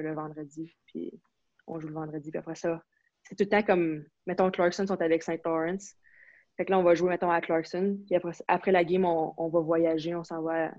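A relaxed young woman with a Quebec French accent who talks in a loose, chatty way, piling on fillers and restarts. Her delivery stays flat, with little rise and fall. The recording is dry, a bit dull and lo-fi. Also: sits low, dry recording. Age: young adult. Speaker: interview guest, university hockey player. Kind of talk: casual conversational interview. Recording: slightly dull, lo-fi sound.

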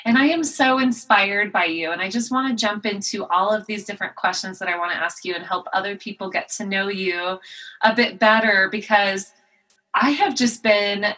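Gushy woman podcast host, lots of um and you know, excited. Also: medium pitch, poor audio. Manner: enthusiastic, gushing, halting with fillers. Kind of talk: podcast interview.

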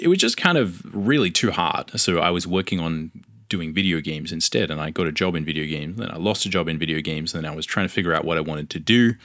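A man's voice: sits low, fairly bright tone, dry recording. An Australian man in his forties hosting a podcast, talking through his career in a reflective, rambling way with many fillers and restarts. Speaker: podcast host. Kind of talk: casual solo podcast monologue.

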